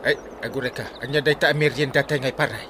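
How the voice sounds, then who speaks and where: A man with an Ilocano accent playing a bumbling, evasive, excitable village captain in a radio comedy drama, stammering and exclaiming. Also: medium pitch, fairly quick, natural voice. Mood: dazed, distressed. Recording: noticeable background noise.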